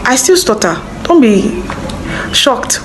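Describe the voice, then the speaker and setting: A woman's voice: a little high, sing-song, takes it slowly, slight background noise, poor audio. A woman giving a personal testimony to camera, partly from notes, conversational and speaking directly to the viewer. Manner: conversational, addresses the viewer directly, checks for understanding. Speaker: teacher, singer and stutter awareness advocate.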